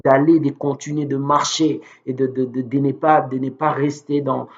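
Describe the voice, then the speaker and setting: A man's voice: mid-range voice, little pitch movement, normal speed. A man who is a native Lingala speaker preaches informally on video, earnest and devout, hesitating and repeating words as he goes. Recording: dull, lo-fi sound.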